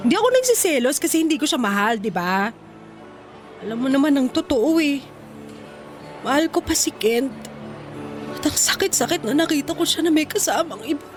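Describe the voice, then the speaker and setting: A woman's voice: sits high, sing-song, normal speed, pauses often, faint music behind. An actress playing a sassy, jealous young single mother with a Filipino accent in a radio drama. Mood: hurt, tearful. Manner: taunting banter with sarcastic edge.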